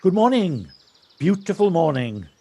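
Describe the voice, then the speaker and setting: An actor playing a cheery, unhurried villager in an audio play, with a gravelly voice and a genial, lyrical manner. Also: male voice, sits high, sing-song, warm tone, exaggerated voice. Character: cheery, unhurried Welsh village local who loves nature. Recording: noisy home recording on personal device.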